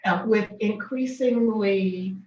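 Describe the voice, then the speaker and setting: A woman's voice: mid-range voice, slow, darkish tone. Older woman, distant echoey recording. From Boston, Massachusetts. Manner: thoughtful, many ums and restarts. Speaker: guest, business founder. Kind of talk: interview, recounting her career. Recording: distant, dull, echoey room with faint noise.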